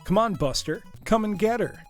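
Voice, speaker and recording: A man's voice: sits high, expressive, normal speed, soft background music, voice pushed up. A man reading aloud a bratty rich boy villain's taunts from a video game's text, raising his voice. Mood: taunting.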